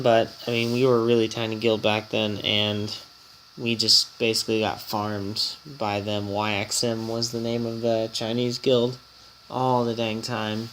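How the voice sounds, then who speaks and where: A man's voice: medium pitch, fairly bright tone, a little hiss or hum, rough recording. A man hosting a casual mobile game vlog, a bit nasal from an oncoming cold, rambling and chatty as he talks. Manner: rambling, chatty, easily startled. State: slightly nasal from a coming cold. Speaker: gaming channel host and guild leader.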